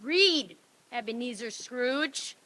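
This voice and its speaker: A woman's voice: on the high side, lively intonation, slow, bright, forceful tone, full character voice. A woman playing a stern, commanding guide to grim visions in a staged radio play reading. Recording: hissy, noisy live stage recording.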